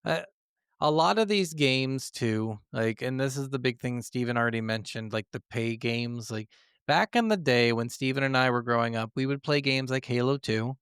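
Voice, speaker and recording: Male podcast co-host, mid-pitched, smooth clear voice, dry close sound, blunt and sweary casual chat. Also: moderate pace, fairly bright tone, no echo.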